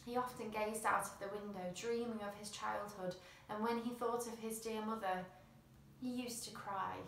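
A woman's voice: medium pitch, steady tone, normal speed, fairly bright tone, a little hiss or hum. A woman, reading a children's picture book expressively in a small room. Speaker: storyteller. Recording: roomy, slightly distant mic.

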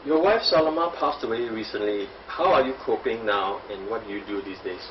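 Male interviewer, off-mic and muffled, calm formal questions. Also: on the low side, a little fast. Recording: distant, dull, with faint hiss.

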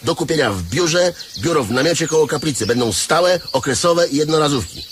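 A man's voice: fairly high pitch, animated, fairly quick, background noise, natural voice. A man acting in a radio play as a bossy, greedy cemetery leaseholder, officious and dismissive, repeating his words.

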